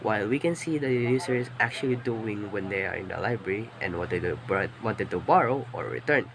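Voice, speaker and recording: A hoarse young man with a Filipino accent, giving a class project presentation in a loose, rambling way with restarts. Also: fairly low pitch, expressive, brisk, noisy background. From Philippines. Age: young adult. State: hoarse from illness. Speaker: student presenter.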